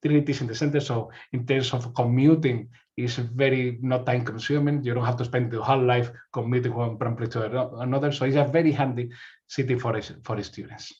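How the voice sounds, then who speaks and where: A man's voice: mid-range voice, fairly even intonation, a little fast, a little mellow, highs cut off. A man from Spain with a Spanish accent giving an informational presentation as a university representative, often repeating words as he searches for phrasing.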